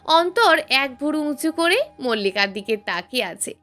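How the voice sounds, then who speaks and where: A woman's voice: high-pitched, expressive, normal speed, bright, forceful tone. A woman reading a scripted story aloud as a dramatic narration. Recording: music behind the voice. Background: background music.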